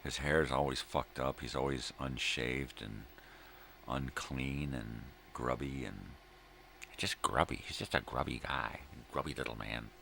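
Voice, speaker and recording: A middle-aged man with a gravelly voice hosting a casual solo vlog, halting and repeating himself as he grumbles his opinions. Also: extremely low pitch, steady tone, pushed and bright. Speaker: host of a daily morning video log. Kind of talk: rambling casual solo chat. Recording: noticeable background noise.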